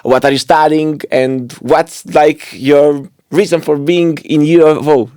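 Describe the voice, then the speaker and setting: A man's voice: on the high side, fairly even intonation, unhurried, darkish tone, smooth, dry recording, studio quality. Encouraging male podcast host. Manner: encouraging, enthusiastic praise. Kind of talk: interview conversation.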